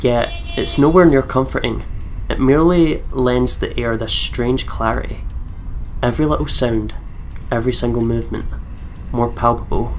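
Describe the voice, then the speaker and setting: A man's voice: medium pitch, normal speed, slight background noise, poor audio. A man with a Scottish accent reading visual novel narration aloud as a let's player, with casual reactions.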